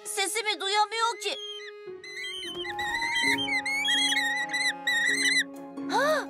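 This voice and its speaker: A woman voicing an eager, proud young male steam engine in a children's cartoon dub, boyish and expressive. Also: extremely high pitch, unhurried. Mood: urgent. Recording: music behind the voice.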